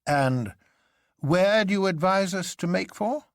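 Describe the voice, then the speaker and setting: A man voicing a grave, curious gentleman who asks questions politely and abruptly. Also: high voice, expressive, slow pace, dry recording, studio quality, voice pushed up, exaggerated voice. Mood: doubtful.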